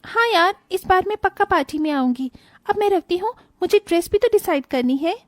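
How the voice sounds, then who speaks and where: A woman voicing a haughty, selfish young daughter-in-law in an animated moral tale, dismissive in manner. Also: extremely high pitch, a little fast, dry recording, studio quality. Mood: breezy.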